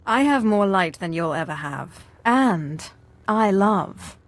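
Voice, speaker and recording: An actress voicing a bold, meddling female dark-side sorceress in a video game, direct and assured. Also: medium pitch, lively intonation, slow, dry recording. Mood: insistent. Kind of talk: dramatic video game cutscene acting.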